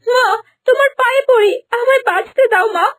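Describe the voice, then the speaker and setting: A woman's voice: extremely high pitch, fairly quick, a bit bright. A woman voicing a pleading young heroine. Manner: pleading, tearful cries for help. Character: young woman heroine, gentle, desperate victim. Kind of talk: cartoon voice acting. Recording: music bed underneath.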